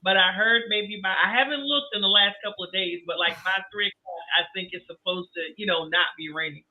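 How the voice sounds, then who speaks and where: A woman's voice: medium pitch, brisk. A woman guest chatting loosely on a podcast, her voice getting scratchy. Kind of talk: casual podcast chat. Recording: tinny phone-like line.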